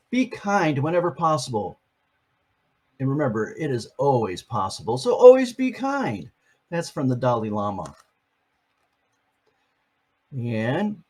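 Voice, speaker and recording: A man's voice: a little high, a little mellow. An older man, a grandfather, reading a picture book aloud to his grandchildren and chatting about each page. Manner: chatty, explains each page in plain words.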